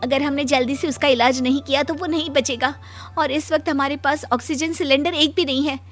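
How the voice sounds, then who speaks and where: An anxious young-sounding nurse with a high voice, acted by a woman in a cartoon moral tale. She speaks in a pleading, deferential way over faint music. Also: fairly even intonation, fairly quick, lightly acted. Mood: urgent, pleading. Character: anxious, respectful female night-shift nurse. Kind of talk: Hindi moral cartoon story voice acting.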